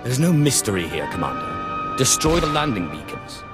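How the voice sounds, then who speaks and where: An actor playing a cold, contemptuous dark lord in a video game, haughty, curt and sneering. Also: male voice, medium pitch, big pitch swings, moderate pace, over loud music, close to an everyday voice. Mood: cold contempt. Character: cold, contemptuous Sith lord player character. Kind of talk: video game cutscene acting.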